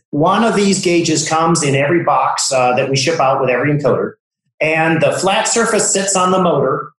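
A man giving a technical demonstration as a product expert, explaining things step by step. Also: fairly high pitch. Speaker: product expert from an encoder manufacturer. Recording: very echoey room.

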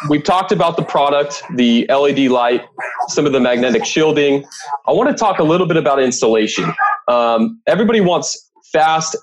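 A man's voice: mid-range voice, poor audio. A man hosting a podcast in a conversational, enthusiastic and complimentary interviewing style. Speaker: podcast host.

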